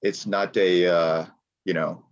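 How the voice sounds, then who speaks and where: Deep-voiced American man, muffled audio. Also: flat delivery, takes it slowly. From United States. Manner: emphatic, repeats his points. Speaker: guest. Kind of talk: casual interview chat.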